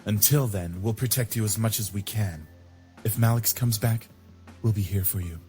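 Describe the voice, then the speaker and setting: A man voicing a calm, knowledgeable and protective demon in a visual novel, speaking formally and with measure. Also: lowish voice, normal speed, soft background music, natural voice. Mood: reassuring.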